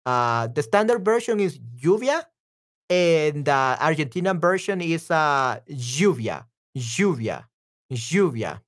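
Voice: medium pitch, very animated. Chatty Spanish tutor with Salvadoran accent. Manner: chatty, repeats words slowly, often asks "okay?". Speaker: Spanish teacher and voice actor. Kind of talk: casual language lesson. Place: room with some echo.

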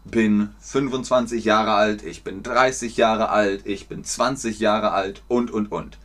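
A man teaching German in a live online lesson, encouraging and clearly articulated. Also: medium pitch, fairly bright tone.

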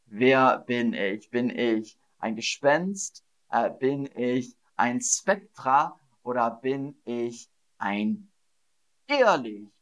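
A man teaching German learners with a riddle quiz, speaking slowly and deliberately, repeating each phrase and laughing at his own slips. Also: mid-range voice, expressive, fairly bright tone. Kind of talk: slow, repetitive quiz lesson for learners.

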